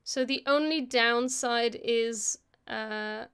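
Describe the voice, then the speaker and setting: A woman hosting a live stream, casually talking through a software tutorial as she works, thinking aloud into a close microphone. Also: a little high, takes it slowly, smooth. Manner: casual, chatty. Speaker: host of an animation live stream.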